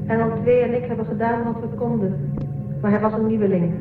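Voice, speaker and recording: An actor in a radio drama playing a calm, controlling guardian robot with a measured, formal delivery. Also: mid-range voice, lightly acted. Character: guardian-angel robot, calm and controlling, speaking in hypnotic commands. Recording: echoey, far off, tinny narrowband audio. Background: loud music.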